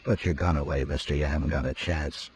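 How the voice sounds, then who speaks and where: An actor playing a tough, calm frontier marshal in a 1950s radio drama, speaking in a firm, measured way. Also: male voice, extremely low pitch. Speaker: lead actor. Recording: old radio broadcast with faint hiss.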